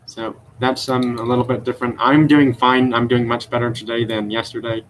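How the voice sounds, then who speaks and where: A man's voice: medium pitch, little pitch movement, brisk, fairly bright tone, a little hiss or hum. A young man chatting on a live stream about his toy car collection, rambling with many fillers and unsure self-corrections. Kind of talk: casual live stream show-and-tell. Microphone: computer mic.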